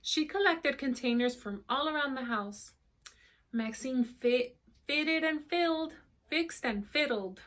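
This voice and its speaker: A woman reading a picture book aloud to children in an animated way, pausing to explain words and ask questions. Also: high voice. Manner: animated, checks in with listeners, explains words simply. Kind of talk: expressive read-aloud with explanatory asides for children.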